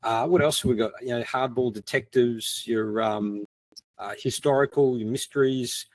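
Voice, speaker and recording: A man chats as a panel guest. He is informal and self-deprecating, with a mid-pitched voice in a very dry recording. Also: moderate pace, dry recording. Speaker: podcast co-host as panel guest. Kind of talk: casual panel discussion.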